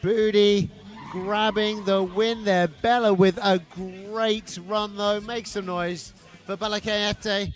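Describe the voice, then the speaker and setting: Man, high voice, live motorsport commentary, excitable, loud music behind. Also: slow. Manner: excitable, jokey asides between calls. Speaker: drift event commentator.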